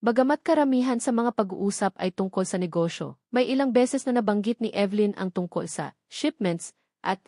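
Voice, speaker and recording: A woman with a Filipino accent narrates an audio drama. Her voice sits at a medium pitch. The delivery is scripted storytelling, recorded very dry with no room sound. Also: a little mellow, no room sound.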